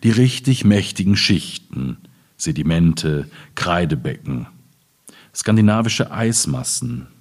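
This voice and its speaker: A man from Germany's Ruhr region, a poet at a literary reading, speaking in a husky, deliberate, measured way. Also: deep voice, big pitch swings, darkish tone. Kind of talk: poetry reading with informal commentary.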